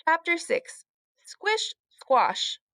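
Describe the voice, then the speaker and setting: A woman's voice: very high voice, sing-song, drawn-out words, fairly bright tone, no room sound. A woman narrating a children's storybook as a read-aloud storyteller.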